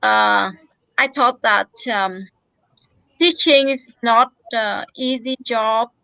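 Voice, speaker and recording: Woman, hesitant non-native English, slow, bright clear voice, dry. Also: a little high, wildly swooping pitch, no room sound, low-quality recording. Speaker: participant. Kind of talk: casual group video call.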